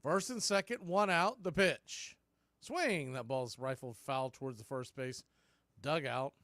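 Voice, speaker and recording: A man's voice: medium pitch, a little slow, fairly bright tone, dry recording. A man hosting live baseball play-by-play, giving punchy calls and folksy, opinionated commentary. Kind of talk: live radio sports commentary.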